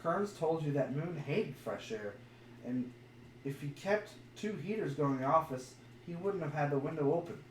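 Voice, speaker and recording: A man's voice: a little high, animated, normal speed. A man co-hosting a podcast, talking casually while he runs a mystery game. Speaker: podcast co-host. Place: echoey room, live streamed podcast. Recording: echoey room, distant mic, faint noise.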